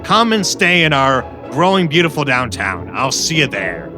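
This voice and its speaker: A man's voice: medium pitch, big pitch swings, normal speed, lightly acted. A man playing a boosterish big-city mayor in a declamatory promotional spot. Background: music.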